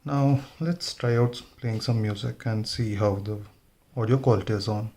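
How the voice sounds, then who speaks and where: A man's voice: lowish voice, moderate pace, a little mellow. A man giving an informal hands-on tutorial, thinking aloud as he works. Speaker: motovlogger demonstrating gear installation. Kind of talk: casual hands-on tutorial narration.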